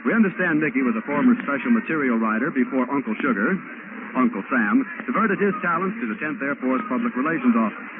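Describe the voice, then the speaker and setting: A man's voice: mid-range voice, lively intonation, fast, narrow, tinny audio, background noise. An American man working as a military radio reporter, conducting a field interview in 1940s radio style on an old wire recording. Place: enlisted men's club at a party.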